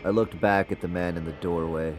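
A man's voice: low voice, steady tone, fairly quick, close to an everyday voice. A man playing an uneasy narrator in an audio drama, recounting a haunting in a conversational first-person way. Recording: music bed under the voice.

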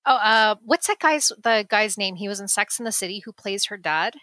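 Chatty adult woman, bright voice, dry close sound, casual movie-review guest, lots of restarts. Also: medium pitch, normal speed, no room sound. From United States. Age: adult parent. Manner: chatty, laughing, many restarts.

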